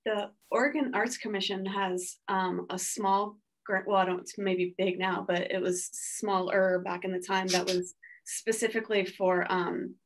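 A woman's voice: medium pitch, steady tone, unhurried. A woman in her forties talking casually on a video call panel from a home studio, rambling with lots of filler words and restarts. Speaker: panelist artist. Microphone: video call webcam.

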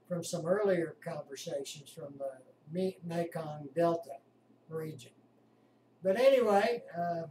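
An older man chatting casually and haltingly to camera about his hobby, picked up by a webcam in a small home room. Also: fairly high pitch, a little mellow. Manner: halting, unhurried. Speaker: amateur radio operator, vlogger. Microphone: webcam at a distance. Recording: roomy distant webcam sound with noise.

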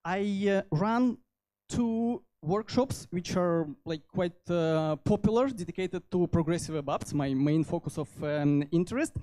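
A man in his thirties with a Russian accent giving an informal technical talk into a handheld microphone, halting often as he goes. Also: high voice, mellow. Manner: halting delivery with frequent hesitations. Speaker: conference speaker, software developer. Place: indoor meetup venue.

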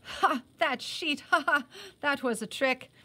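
Lady doing cocky young army lieutenant in a storybook, bossy and hearty, higher pitched. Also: sharp tone, full character voice. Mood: chuckling, hearty. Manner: brisk, commanding, barked questions and orders. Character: arrogant, hearty young British army lieutenant, good-humoured under bluster.

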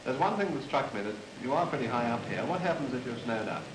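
A man's voice: medium pitch, lively intonation, fast. A British man working as a television reporter, asking questions in a stiff 1950s newsreel interview style. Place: transmitter station control room. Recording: noisy, echoing 1950s film soundtrack.

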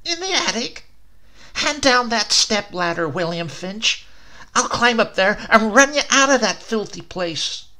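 A man plays an aging storybook wife, exasperated and easily alarmed. The voice is pitched high and shrill, scolding with force and urgency. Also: expressive, measured pace, pushed and bright, full character voice. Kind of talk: audiobook character voice.

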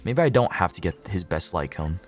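Deep-voiced man on a casual gaming stream. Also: lively intonation, fairly quick, faint music behind. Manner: casual, sweary, repeats himself, thinks aloud. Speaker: livestreamer. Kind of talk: casual gaming livestream chat with viewers.

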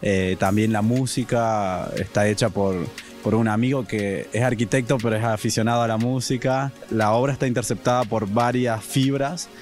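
A man's voice: fairly low pitch, steady tone, normal speed, darkish tone. A man in his twenties with a northern Argentine accent, a student artist answering earnestly in an interview. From Salta, northern Argentina. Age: 20s. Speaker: student artist and stage director. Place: theatre stage with curtains. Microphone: handheld reporter microphone. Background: music.

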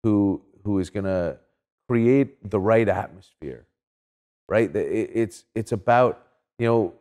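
A man's voice: lowish voice, slow pace, warm tone, big-room sound. A middle-aged man giving emphatic, opinionated sports talk in a casual interview. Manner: emphatic, checks in with "right?". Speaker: sports commentator guest. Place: small indoor studio set with couches.

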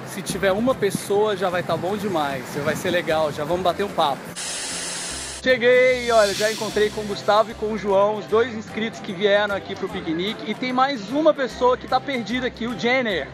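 A Brazilian man in his thirties, hosting a chatty, excited vlog, recorded on a phone held at arm's length outdoors in a city park. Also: high-pitched, a little fast, over music. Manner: chatty, excited, lots of "né?" tags. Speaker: vlogger host. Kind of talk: upbeat vlog chat.

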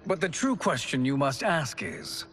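An actor playing a scheming male sorcerer posing as a kindly old peddler, with a smooth, theatrical sales-pitch delivery, in a video game cutscene. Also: sing-song, unhurried, a bit bright, a little hiss or hum. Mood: persuasive.

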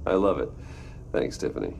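Very deep, gravelly brooding man. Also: big pitch swings, a little fast, a little mellow, slight background noise, full character voice. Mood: warm, surprised. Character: brooding adult billionaire businessman, secretly a vigilante detective. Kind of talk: cinematic video game dialogue.

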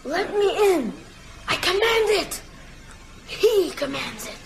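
A woman playing a coaxing, commanding undead vampire boy in a radio drama, slow and insistent. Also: extremely high pitch, big pitch swings, creaky, full character voice.

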